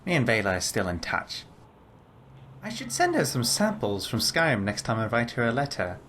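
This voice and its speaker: A man voicing a composed, scholarly lizard-folk lawman and spellcaster in a game, speaking formally and in measured, articulate phrases. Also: medium pitch, huge pitch swings, a bit bright, a little hiss or hum. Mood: reflective. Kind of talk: scripted game character dialogue.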